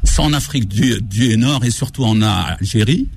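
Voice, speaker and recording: A man's voice: fairly low pitch, expressive, moderate pace, vocal fry, a little mellow, husky. A man speaking as a guest author on a radio talk show, telling anecdotes in an enthusiastic, colloquial way and acting out reported dialogue. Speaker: guest author presenting his book on African football.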